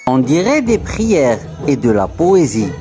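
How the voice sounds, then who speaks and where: Woman voicing a slow-talking, curious little boy over music. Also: rumbling low, sing-song, full character voice. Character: curious, excited, sweet young boy traveller. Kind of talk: scripted children's cartoon dialogue.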